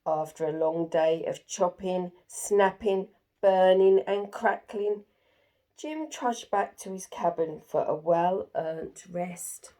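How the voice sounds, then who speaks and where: A woman's voice: fairly low pitch, takes it slowly, dark tone. A woman storyteller reading a children's picture book aloud with expression.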